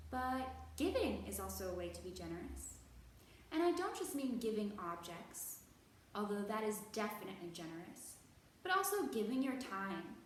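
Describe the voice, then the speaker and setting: A woman hosting a children's storytime, teaching young viewers in an encouraging manner and carefully stretching out key words. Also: mid-range voice. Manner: encouraging, exaggerated slow enunciation of key words. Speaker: children's library storytime host. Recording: very echoey room, distant mic, faint noise.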